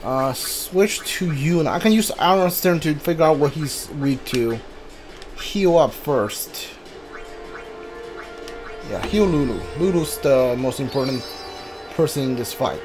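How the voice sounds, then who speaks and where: Musical-backed male gamer thinking aloud, lively pitch. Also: measured pace, pauses often, faint music behind. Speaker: game streamer. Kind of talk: live gameplay commentary.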